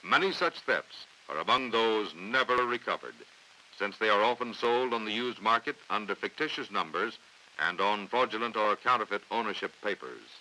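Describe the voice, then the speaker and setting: An American man reading scripted training film narration in a formal, authoritative, measured way on a vintage 1950s soundtrack. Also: on the low side, expressive, bright, forceful tone, a little hiss or hum, rough recording. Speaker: documentary narrator.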